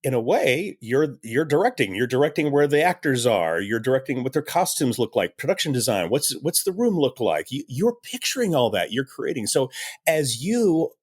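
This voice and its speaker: A man's voice: mid-range voice, big pitch swings, moderate pace, slightly rough. A man answering as an interview guest, conversational and enthusiastic, repeating phrases for emphasis. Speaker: interview guest, audio drama creator.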